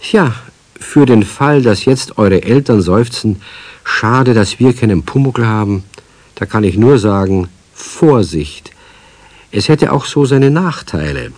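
A man narrating a children's radio play as a wry storyteller, measured. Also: on the low side, expressive, a little mellow, no room sound. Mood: wry, advising.

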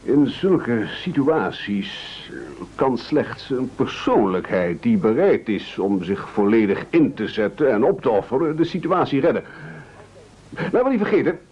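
An actor in a radio play playing a pompous, scheming leader who speechifies in formal, flowery phrases. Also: male voice, medium pitch, sing-song, measured pace, darkish tone, breathy edge. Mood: flattering, grandiose. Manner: oratorical, grandiloquent, formal. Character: pompous, scheming, silver-tongued male leader of castaways. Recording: dull, lo-fi radio play recording.

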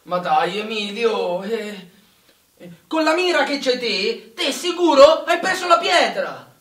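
An actor playing a naive, earthy young peasant soldier, plain-spoken in rustic central Italian dialect. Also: male voice, very high voice, sing-song, slow, fairly bright tone, heavily acted. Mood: consoling. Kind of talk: live stage or radio war drama acting. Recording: roomy, picked up from distance.

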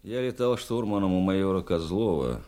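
A man's voice: low-pitched, expressive, moderate pace, warm tone. An actor playing a reserved male navigator who recalls the war in confiding first-person radio drama narration. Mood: reflective, subdued.

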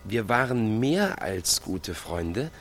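Low, swooping, insinuating village man. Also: sing-song, takes it slowly. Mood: insistent, needling. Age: 30s. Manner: teasing, insistent. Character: insinuating thirty-year-old village man, pushily friendly. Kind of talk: radio drama acting.

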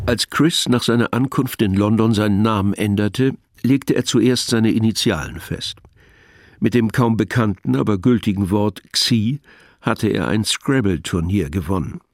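A man narrating a scripted radio play in a measured, matter-of-fact manner. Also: fairly low pitch, no room sound, clean studio sound.

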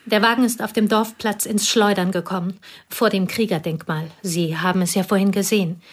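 An actress playing a reserved piano teacher from a remote village in a radio drama, terse and dryly ironic. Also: female voice, mid-range voice, steady tone, a little fast, natural voice. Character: reserved provincial female piano teacher, guarded and dry.

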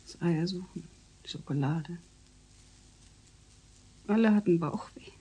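An actress playing a middle-aged female politician and guilt-ridden mother in a radio drama, breathy, with halting, emotional delivery. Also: on the low side, warm tone, poor audio. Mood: remorseful. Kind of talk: naturalistic German radio drama acting.

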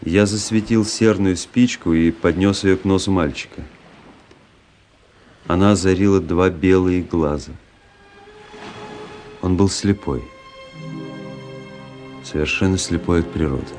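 Radio drama narrator: reflective officer, deep and dark-toned, measured literary delivery. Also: male voice, steady tone, faint music behind. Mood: pensive, uneasy. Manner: pensive, measured storytelling. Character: reflective army officer recounting his travels.

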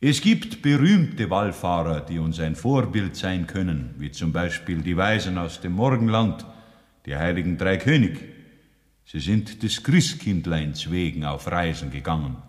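A man with a Bavarian accent narrates a radio drama like a sermon, solemn and preachy. His low voice moves slowly and rises and falls widely. Long reverb hangs around it. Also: expressive. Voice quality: solemn, preachy, slow and deliberate. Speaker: narrator preaching a moral tale.

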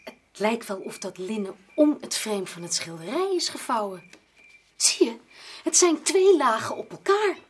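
An actress in a radio drama playing a sensible, forthright female companion, brisk and questioning. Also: a little high, sing-song, breathy edge. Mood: curious.

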